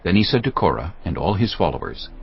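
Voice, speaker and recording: Deep voiced guy narrating bible drama, really animated, faint ambient noise behind. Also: fast talker, gravelly. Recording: slightly dull audio, faint background noise.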